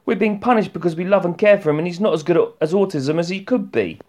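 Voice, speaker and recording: A man's voice: high voice, fast, darkish tone, voice pushed up. A man voicing an exasperated, weary wife and mother in a novel reading, in an emphatic manner. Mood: indignant. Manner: indignant, emphatic. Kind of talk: audiobook-style novel reading.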